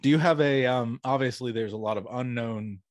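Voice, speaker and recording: A man's voice: medium pitch, brisk, no echo. Middle-aged man chatting, close dry mic. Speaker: podcast host and storyteller. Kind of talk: casual conversational interview. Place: small home room, video call. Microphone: close studio mic on boom arm.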